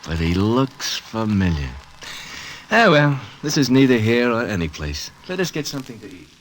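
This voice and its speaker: An actor playing a laconic, streetwise racetrack pal with offhand, mannered slang, in 1940s radio style. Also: male voice, mid-range voice, very animated, normal speed, close to an everyday voice. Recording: noisy old 1940s radio recording.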